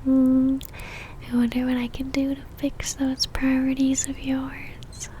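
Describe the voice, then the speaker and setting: A woman playing an obsessive, seductive female vampire in a whispered ASMR roleplay, speaking intimately with a teasing, soft menace. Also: high voice, monotone, takes it slowly, a little hiss or hum. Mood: seductive, possessive.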